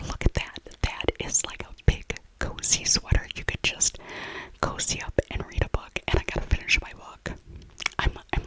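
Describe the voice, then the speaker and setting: A woman speaking in a breathy, unvoiced whisper, gently rambling through a casual ASMR voiceover and often repeating her phrases. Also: rough recording. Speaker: ASMR creator narrating a shopping trip.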